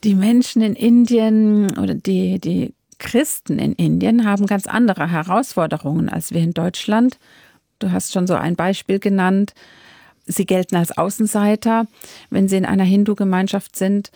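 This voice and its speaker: A woman interviewing a guest, asking measured, clearly phrased questions. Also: mid-range voice, dark tone, dry recording, studio quality.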